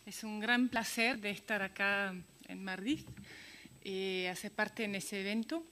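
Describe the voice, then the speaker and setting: Oradora con acento italianizado y muchas pausas. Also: female voice, mid-range voice, sharp tone, a little hiss or hum. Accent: Spanish with Italian touches. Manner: hesitant, many fillers and self-corrections. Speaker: invited speaker. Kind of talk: conference speech.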